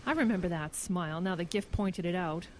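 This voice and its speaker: A woman's voice: fairly low pitch, fairly quick, darkish tone, slight background noise, close to an everyday voice. A woman acting a friendly, conciliatory character in an audio drama, with an earnest manner. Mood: amused, knowing. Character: friendly, conciliatory woman, old schoolmate of a scientist.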